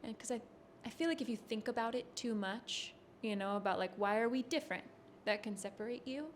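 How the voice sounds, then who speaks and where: Relaxed woman in her thirties, faint hiss. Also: fairly high pitch, a little fast. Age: 30s. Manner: relaxed, rambling, laughs easily. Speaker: touring bassist guest. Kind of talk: backstage interview chat. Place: backstage at a concert venue.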